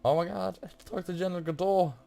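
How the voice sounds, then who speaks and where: A man giving casual playthrough commentary as a gaming video host, halting as he searches for words. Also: high-pitched, fairly even intonation, vocal fry, mellow, soft background music.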